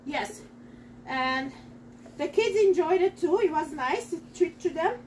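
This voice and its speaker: Middle-aged woman, very high voice, excitable home-kitchen demo host, slightly off-mic. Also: a little slow, recorded from a distance, slight background noise, poor audio. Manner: chatty and excitable, talks straight to viewers. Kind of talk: live cooking demonstration. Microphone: camera across the counter.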